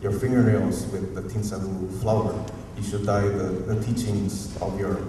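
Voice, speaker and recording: A university professor from Hawaii with a Hawaiian accent, giving a rambling, informal, unscripted talk at a community forum and often chuckling. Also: male voice, fairly low pitch, little pitch movement, normal speed, mellow. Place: museum auditorium. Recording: echoey hall, distant mic, faint noise.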